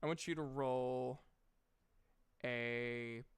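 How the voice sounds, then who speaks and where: A man running a tabletop game as game master, narrating deliberately and haltingly and chatting with players. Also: mid-range voice, steady tone, smooth.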